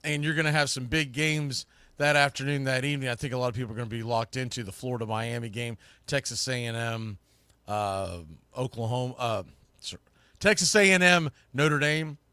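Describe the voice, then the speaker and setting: A man's voice: mid-range voice, a little fast, sharp tone, smooth, no echo. A man hosting a sports show, talking to viewers in a loose, informal, rambling way and often correcting himself. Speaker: host of a sports podcast and play-by-play streamer.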